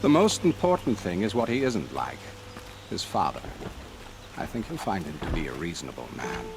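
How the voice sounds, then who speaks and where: An actor playing a smooth, courtly royal adviser in a video game, speaking formally with wry insinuation. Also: male voice, low voice, sing-song, normal speed, background noise, exaggerated voice. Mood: suave, amused.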